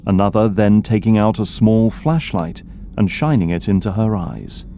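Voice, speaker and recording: A man narrating an audio drama as a sober scene-setter, reading descriptively in a measured way. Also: on the low side, mellow, a little hiss or hum, natural voice.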